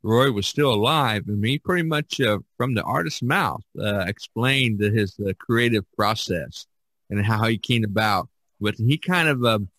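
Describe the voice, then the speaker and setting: A man hosting a podcast, talking casually in a halting, meandering way. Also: lowish voice, animated, fairly bright tone, no room sound. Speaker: podcast host.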